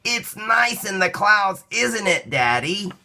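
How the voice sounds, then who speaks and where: Man playing little boy, high pitched, bright, eager, curious, wide-eyed, storybook character. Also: very animated, slow pace, creaky, exaggerated voice. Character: excited, curious little boy on his first flight.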